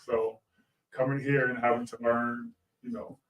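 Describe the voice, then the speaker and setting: A man's voice: medium pitch, little pitch movement, measured pace, distant mic, crowd noise, rough recording. Understated man, a bit off the mic. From Arkansas, southern United States. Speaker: guest musician, gospel singer and organist. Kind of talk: casual interview answers.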